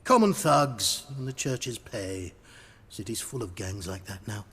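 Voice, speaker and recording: An actor playing a wry, wordy, theatrical street performer in a fantasy video game. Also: male voice, lowish voice, animated, measured pace, fairly bright tone, husky, heavily acted. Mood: wry, aggrieved.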